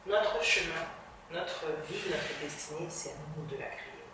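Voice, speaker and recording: A woman's voice: lowish voice, unhurried, slight background noise. Earnest woman guest, very echoey room. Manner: earnest, reflective, drops to a soft level at phrase ends. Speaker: guest, television journalist. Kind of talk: motivational interview talk. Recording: reverberant room, distant mic.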